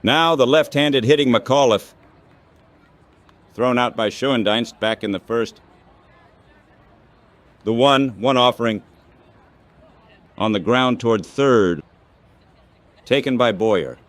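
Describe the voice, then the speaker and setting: A man calling baseball play-by-play in measured, clipped phrases, like scripted radio commentary. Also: medium pitch.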